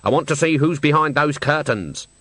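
A man reading a storybook, voicing a stern old witch woman with a brisk, imperious way of talking. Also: fairly high pitch, expressive, pushed and bright, dry recording, pitched above the performer's own voice. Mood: brisk, impatient.

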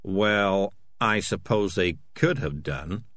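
A man's voice: deep voice, slow, fairly bright tone. A man voicing a shifty, self-serving male cat in a storybook, hesitant and evasive with stammered excuses. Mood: uneasy.

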